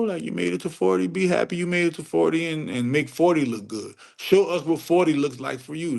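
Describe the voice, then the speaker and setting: Casting: middle-aged man, mid pitch, preachy streamer, crude and emphatic, repeats himself. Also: creaky. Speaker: livestream host answering chat. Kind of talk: casual unfiltered livestream rant.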